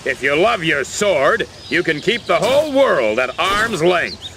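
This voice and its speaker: Video game drill-sergeant general: bombastic, impatient, high-pitched for a man, swinging widely in tone. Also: sing-song, measured pace, vocal fry, bright, forceful tone, slight background noise, heavily acted. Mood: formal, instructing. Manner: blustering, commanding. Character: gruff, impatient, bombastic male army general. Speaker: army general and drill instructor. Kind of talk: video game voice acting, barked drill speeches.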